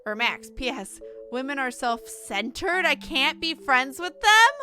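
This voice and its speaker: A woman game streamer with an expressive, irreverent manner, giving casual let's-play commentary and reading game text aloud. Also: high voice, a little slow. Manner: expressive, irreverent, reacts bluntly. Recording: game music behind voice. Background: game music.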